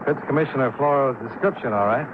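An actor playing a hard-boiled American insurance investigator, narrating and talking in a dry, clipped 1950s radio drama style. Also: male voice, medium pitch, quick pace, heavy background noise, natural voice. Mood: wry. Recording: tinny 1950s radio recording, loud music.

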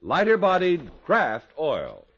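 Highly animated American radio announcer, male. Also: slow pace. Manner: polished, emphatic sales delivery. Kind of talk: 1950s radio ad and credits announcing. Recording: dull vintage radio recording. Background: music.

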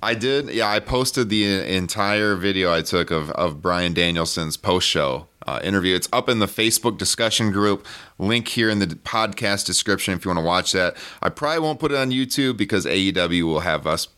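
A hoarse man with a very deep voice that still has a bright edge. He is upbeat and laughs often while hosting. He is in a hotel room. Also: normal speed. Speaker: podcast host. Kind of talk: casual podcast chat.

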